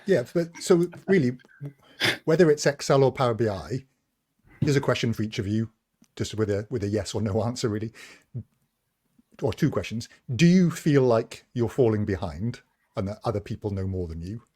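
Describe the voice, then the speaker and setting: A man's voice: on the low side, lively intonation, moderate pace, warm tone. Chatty man hosting a tech podcast. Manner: chatty, self-deprecating, steers the round of questions. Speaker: podcast co-host, moderator. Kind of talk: casual chat.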